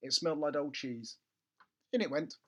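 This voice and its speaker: A man narrating a children's book expressively, with theatrical emphasis and comic pauses. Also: fairly high pitch. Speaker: storybook reader.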